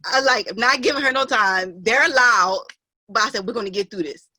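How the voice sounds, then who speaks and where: A woman telling a casual anecdote on a video call, animated and quick to laugh and quote herself. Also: on the high side. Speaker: actor in an online play reading.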